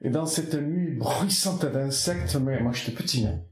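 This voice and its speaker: Basque-born guy, basque his first language, soft poetic rambling w lots of restarts, middle pitch, echoey room. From Basque Country. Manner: soft-spoken, poetic, halting with many restarts. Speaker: artist interviewed. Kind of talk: reflective reminiscing interview.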